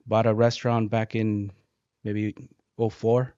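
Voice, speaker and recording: A man from Mexico in his forties, a guest engineer speaking softly and hesitantly, with many fillers. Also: lowish voice, monotone, unhurried, smooth, dry recording. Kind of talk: casual interview talk. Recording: dull dry podcast audio.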